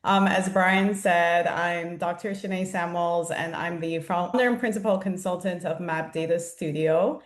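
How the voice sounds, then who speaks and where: A middle-aged woman from Jamaica giving conversational, explanatory answers as an interview guest. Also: lowish voice. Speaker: interview guest, founder and consultant. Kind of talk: conversational interview answers about own career. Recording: roomy, echoing sound.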